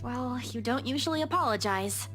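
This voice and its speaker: A woman's voice: on the high side, animated, normal speed, a bit bright, faint music behind. A woman voicing a caring, feisty young woman in a visual novel game, earnest and emotional. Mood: concerned.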